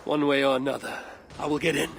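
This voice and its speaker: Resolute, higher-voiced Norse warrior. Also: male voice, level tone, fast talker, vocal fry, noisy background. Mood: urgent. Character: determined, blunt Norse raider warrior seeking to end bloodshed. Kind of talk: dramatic video game acting.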